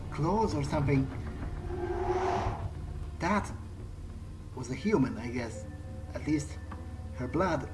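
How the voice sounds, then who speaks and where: A jittery young vampire played by a gamer, mid-pitched and slow to get words out. The speech is hesitant and muttered, with repeated words and mild swearing, exasperated at everything around. Game music plays clearly behind the voice. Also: close to an everyday voice, rough recording. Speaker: let's player playing in character. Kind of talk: in-character game playthrough reactions, improvised.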